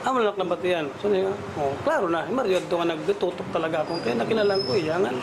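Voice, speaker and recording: A man's voice: high-pitched, lively intonation, fast, creaky. A man with a Waray accent from the Philippines giving a spontaneous account as a news interviewee. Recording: noisy on-location news interview recording.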